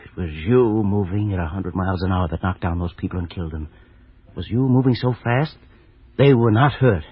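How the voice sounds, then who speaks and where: An actor playing an intense, greedy accomplice in old-time radio horror, with halting, repetitive delivery. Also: male voice, low voice, breathy edge. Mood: accusing, covetous. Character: greedy, power-hungry male accomplice, agitated and pleading. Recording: dull vintage radio broadcast.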